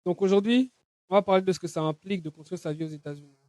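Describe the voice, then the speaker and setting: A male podcast host opens the show, moving briskly through a prepared introduction. His voice runs high and the sound is close and dry, with no room around it. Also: a little mellow, no echo. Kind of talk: scripted episode intro.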